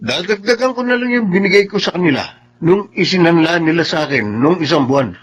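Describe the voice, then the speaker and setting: An actor with a Filipino accent playing a boastful, hard-nosed newly rich son in a radio drama, with a brash, domineering manner. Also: male voice, fairly high pitch, animated, fairly quick, breathy edge. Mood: stern, impatient.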